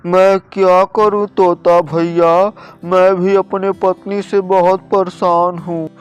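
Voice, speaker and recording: Man as a high-voiced weary crow husband. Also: level tone, slow pace, clear, resonant tone. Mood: weary, plaintive. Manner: plaintive, reproachful. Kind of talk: children's moral-story cartoon acting. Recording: dull, lo-fi cartoon track with music.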